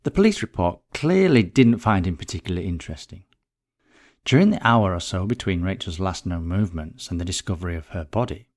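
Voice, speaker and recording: A man with a fairly low-pitched voice narrates a scripted short story. He reads as the narrator of a whodunnit, delivering prepared prose. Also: a little mellow. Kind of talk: scripted narration of a murder mystery.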